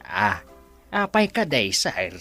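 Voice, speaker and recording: An actor with a Filipino accent playing a timid, flustered servant who talks in a hesitant stammer. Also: male voice, lowish voice, wildly swooping pitch, slow, pushed and bright, no room sound, faint music behind, heavily acted. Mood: meek, curious.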